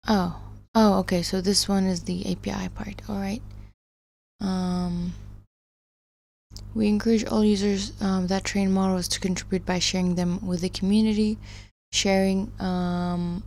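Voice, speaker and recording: Slow, gravelly-voiced woman streamer. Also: mid-range voice, darkish tone. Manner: hesitant, frequent ums, laughs often. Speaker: livestream host. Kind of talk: casual livestream chat.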